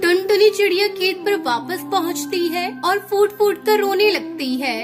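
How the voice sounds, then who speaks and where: A woman narrator with a very high-pitched voice. She reads a scripted children's story. Background music is clearly present throughout. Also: animated, moderate pace.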